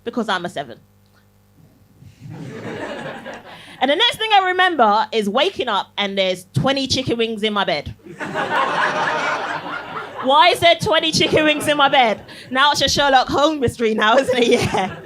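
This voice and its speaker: A woman's voice: a little high, fairly bright tone, a little hiss or hum. A young woman from Barbados performing a cheeky stand-up set in a comedy club, teasing the crowd and laughing through her lines. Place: comedy club, live audience.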